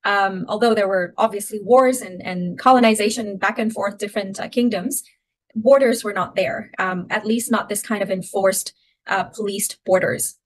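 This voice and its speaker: A young woman from Myanmar who grew up in Thailand, talking through her own story as a podcast guest. She is reflective and circles back on her phrases. Her voice sits at a middling pitch. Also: steady tone, normal speed, poor audio. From Myanmar (Rohingya from Arakan), raised in Thailand. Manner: reflective, many restarts and "you know". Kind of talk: personal storytelling in an interview.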